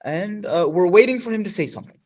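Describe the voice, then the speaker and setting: A man's voice: high voice, sing-song, fast, a little mellow. A man playing a flustered news reporter in a stage radio play, stammering through formal newsreader phrasing.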